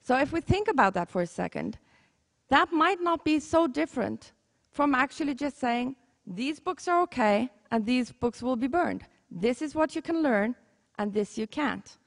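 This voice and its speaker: A woman's voice: high voice, creaky. A woman from Iceland with an Icelandic accent, a teacher giving an earnest, conversational talk and correcting herself as she goes. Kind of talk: conference talk. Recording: long hall reverb.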